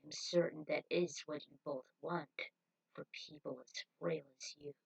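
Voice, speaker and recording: A woman voicing a calm, silky male cult member with a persuasive, condescending manner, in an amateur story reading. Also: sits low, normal speed, creaky, slightly rough, pitched below the performer's own voice, exaggerated voice, low-quality recording. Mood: smooth coaxing.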